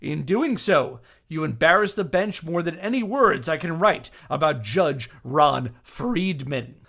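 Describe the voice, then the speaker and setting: Man performing a loud, grandiose, indignant attorney. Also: fairly high pitch, animated, a little slow, creaky, heavily acted. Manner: grandiose, preachy, emphatic oratory. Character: indignant, self-righteous male attorney delivering a defiant grievance speech. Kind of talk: dramatic reading of a legal statement.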